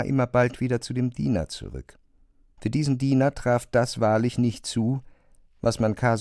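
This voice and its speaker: A man's voice: mid-range voice, gravelly, darkish tone, dry recording, studio quality. A man reading scripted literary narration for an audio drama.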